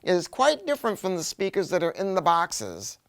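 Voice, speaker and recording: A man's voice: high voice, huge pitch swings, a little fast, creaky, a bit bright. A middle-aged American man giving a casual, enthusiastic product review in colloquial, emphatic language, with a rambling delivery.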